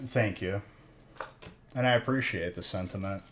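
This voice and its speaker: A man's voice: sits low, moderate pace, noisy background. A man in his thirties hosting a live stream, chatting casually and jokingly teasing his viewers while he opens card packs. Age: 30s. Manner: teasing, joking banter with chat.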